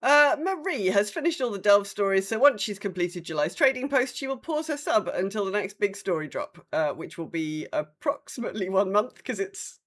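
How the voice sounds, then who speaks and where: A woman with a voice of medium pitch co-hosts a casual podcast. She is chatty and informal, repeating words to stress them as she goes through listener updates. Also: expressive, normal speed, few pauses. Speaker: podcast co-host. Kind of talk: casual podcast chat, reading community updates.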